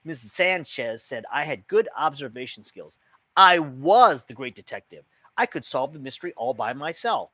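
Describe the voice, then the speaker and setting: Male read-aloud narrator, bright tone, lively pitch, expressive children's book style. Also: normal speed, no echo.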